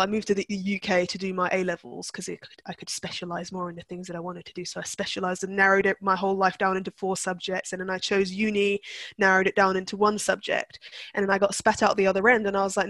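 A young woman from Turkey talks through her ideas in a relaxed interview, circling back and restarting her sentences as she thinks aloud. Her voice sits at an ordinary middle pitch. The recording is dry and close, with no room sound. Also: fast talker, no room sound. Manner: reflective, with frequent restarts and fillers. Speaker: guest, NLP practitioner. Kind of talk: conversational podcast interview.

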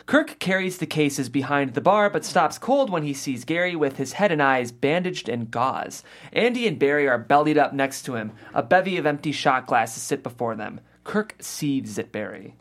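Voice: mid-range voice, animated, normal speed, few pauses, a bit bright. A narrator reading scripted stage directions at a comedy table read.

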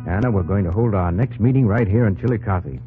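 An actor playing a plain-spoken, steadfast young American frontier husband in an old radio drama, with a folksy, measured delivery. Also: male voice, lowish voice, lightly acted. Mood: resolute. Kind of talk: 1940s-50s radio drama acting. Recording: muffled vintage radio recording with music.

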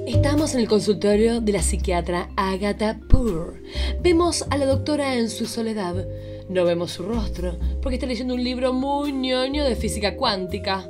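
A woman's voice: a little high, lively intonation, moderate pace, music in the background. A woman, a podcast host narrating a scripted comic radio play.

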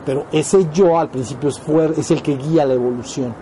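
Mellow, higher-voiced Mexican man teaching. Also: brisk, slight background noise, low-quality recording. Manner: explanatory, often checks understanding with short questions. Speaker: spiritual teacher. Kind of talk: informal spiritual lecture to students.

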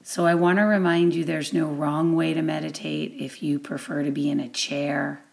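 A woman giving a guided meditation introduction as an instructor, with deliberate, measured phrasing and long pauses. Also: low voice, flat delivery, gravelly, a little mellow.

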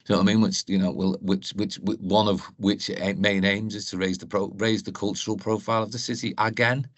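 A middle-aged man with a Liverpool accent talks in a low voice, rambling and restarting as an interview guest. The sound is dry and close. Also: little pitch movement, brisk, dry recording. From Liverpool, England. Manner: rambling, many restarts and "you know". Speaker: guest, council events advisor. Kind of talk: casual interview chat.

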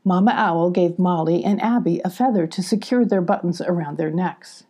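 A woman's voice: lowish voice, normal speed, mellow. An older woman hosting a story time and narrating a scripted children's story. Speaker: storyteller and host.

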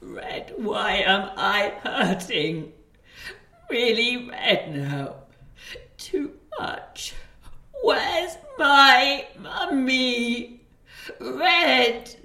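Bewildered elderly grandmother, very slow broken speech, childlike, roomy echo, audio drama. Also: a little high, expressive, bright, forceful tone, exaggerated voice. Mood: pained, bewildered. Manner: halting, broken telegraphic speech. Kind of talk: audio play acting.

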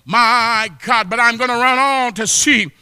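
A man preaching a fervent church sermon, exhorting with repeated exclamations of praise and often rising into shouts. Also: extremely high pitch, measured pace, smooth, clean studio sound. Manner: impassioned, often breaking into shouts. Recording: long hall reverb.

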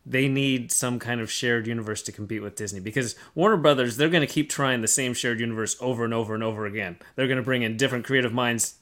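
A man's voice: mid-range voice, steady tone, fast, few pauses, fairly bright tone. A man hosting a podcast in a casual panel discussion, talking in an explanatory way and posing rhetorical questions. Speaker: podcast host.

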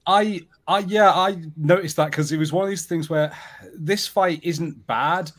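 A man's voice: fairly high pitch, measured pace, fairly bright tone. A man giving casual, thinking-aloud film commentary as a panelist among friends, with critical reservations. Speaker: podcast panelist.

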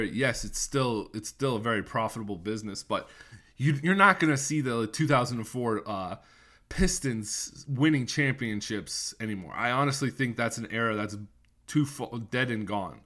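A man's voice: medium pitch, bright, forceful tone. Stuffy-voiced man ranting about sports. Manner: rambling, opinionated, with many restarts and fillers. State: stuffy from a cold. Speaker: podcast host. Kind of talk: casual solo sports podcast talk.

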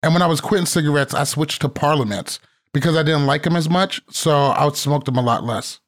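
A man's voice: fairly high pitch, brisk. A man in his thirties hosting a podcast, chatting casually and brashly, swearing freely. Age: 30s. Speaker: podcast host.

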